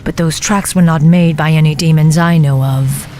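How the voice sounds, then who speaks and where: A woman's voice: sits low, fairly even intonation, moderate pace, a little mellow, a little hiss or hum. A woman voicing a stern, vengeful night elf warden who commands with grave resolve in a video game cinematic.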